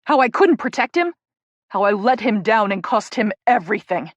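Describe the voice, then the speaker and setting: A woman voicing an anguished young male hockey player who shouts and swears through sobs. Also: mid-range voice, measured pace, dry recording, close to an everyday voice. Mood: anguished shouting.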